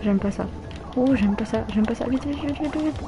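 A woman's voice: fairly high pitch, normal speed, over music. Young woman streaming a game. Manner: playful, bantering, easily flustered. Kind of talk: live gameplay chat with viewers.

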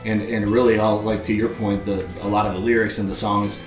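A man's voice: on the low side, flat delivery, reverberant, faint music behind. A man from Oklahoma City with a light Oklahoma accent, chatting casually and agreeably as a co-host. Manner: agreeable, quick affirmations. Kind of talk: casual chat. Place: living room with bookshelves.

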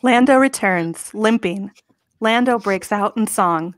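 Woman narrator, medium pitch, slow measured pace, dry sound, straight-faced script reading. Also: no echo. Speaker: narrator reading stage directions. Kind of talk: scripted narration in a comic table read.

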